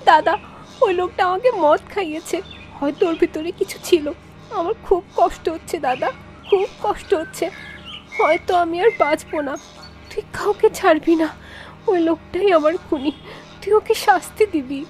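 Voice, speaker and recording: A woman voicing a suffering, pleading younger sister who becomes a ghost in an animated horror story. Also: very high-pitched, moderate pace, soft background music. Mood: anguished, vengeful. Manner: plaintive, pleading.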